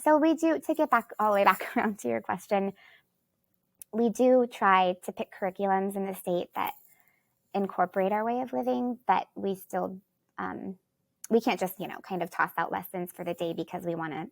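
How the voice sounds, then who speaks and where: A woman teacher from Alaska answers interview questions, thinking aloud as she goes and often circling back on herself. Her voice has a slightly gravelly, fry-tinged texture. The sound is dry and close, with no room around it. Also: medium pitch, normal speed, dry recording. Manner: thinks aloud, rambling with restarts and "you know". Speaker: third-grade teacher, guest.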